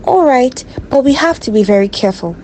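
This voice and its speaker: A woman's voice: high-pitched, expressive, a little fast, a little mellow, a little hiss or hum. A woman voicing a cautious, hesitant young boy in a narrated folktale, worried and careful. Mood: reluctant.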